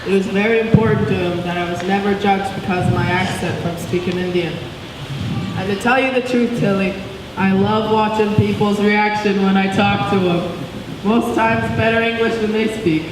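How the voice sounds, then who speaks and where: A woman's voice: mid-range voice, fairly even intonation, normal speed, natural voice. Woman reading as a proud old grandmother, echoey hall. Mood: earnest. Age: older. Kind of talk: reading a book aloud. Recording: echoey hall, distant mic, some noise.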